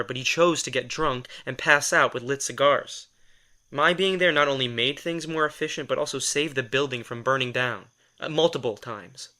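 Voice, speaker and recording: A man's voice: medium pitch, pushed and bright, natural voice. A man acting in an audio drama as a sardonic, exasperated assistant who reads minds, talking in a wry, defensive, rambling conversational way. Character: sardonic, exasperated male telepath, former personal assistant to a writer.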